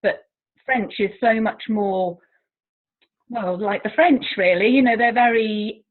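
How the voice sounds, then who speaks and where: An English woman who teaches languages chats freely as an interview guest and often laughs at herself. Her pitch sits in a middle range. The audio comes through a narrow, tinny line like a phone call. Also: unhurried. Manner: chatty, self-deprecating, laughs often. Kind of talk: casual interview chat.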